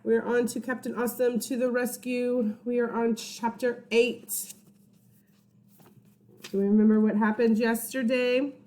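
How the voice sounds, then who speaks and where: A woman's voice: a little high, flat delivery, measured pace, dark tone. A woman teacher reading a children's story aloud, informally, with chatty asides and check-in questions for young listeners.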